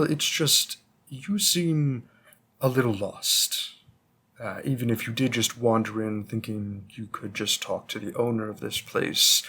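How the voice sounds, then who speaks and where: A man playing a long-lived, old-fashioned bookstore keeper in a roleplay audio drama, polite, rambling and gently formal as he addresses the listener. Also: mid-range voice, slightly rough, heavily acted. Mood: politely probing.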